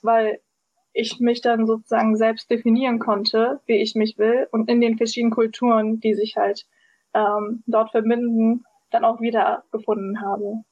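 High-voiced young woman, flat delivery. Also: moderate pace, dry recording. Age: 20s. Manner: thoughtful, many fillers. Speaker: podcast guest. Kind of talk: casual podcast chat. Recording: dull, dry lo-fi sound.

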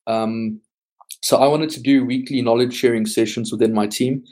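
Mellow-voiced man explaining at length. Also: medium pitch, steady tone, normal speed. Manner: explains at length with frequent ums. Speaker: guest, software team lead. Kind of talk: casual podcast interview.

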